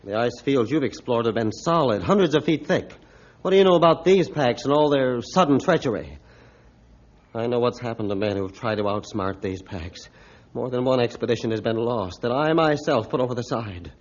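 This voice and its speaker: A commanding, scornful ship's captain as a male actor plays him in a 1950s radio play. His voice sits at an ordinary male pitch and his delivery is formal and emphatic. The recording is dull and lo-fi. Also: lively intonation, normal speed, lightly acted. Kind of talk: 1950s radio drama acting.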